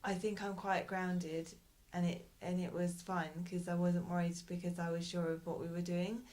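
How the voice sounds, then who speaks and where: A woman being interviewed as a parent in a casual conversation, talking thoughtfully and hesitantly with frequent pauses and restarts. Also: on the low side, level tone, darkish tone. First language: English.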